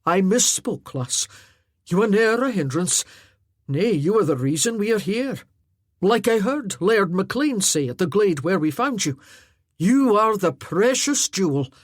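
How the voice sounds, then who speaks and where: A woman voicing a huge earnest Highland warrior. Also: big pitch swings, unhurried, no room sound, clean studio sound. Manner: earnest, chatty, loud.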